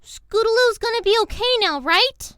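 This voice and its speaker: A woman voicing an anxious young cartoon pony filly in a dramatic story reading, halting and fretful. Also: very high-pitched, expressive, a bit bright, no echo, studio quality. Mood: worried. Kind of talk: dramatic fan fiction audio reading.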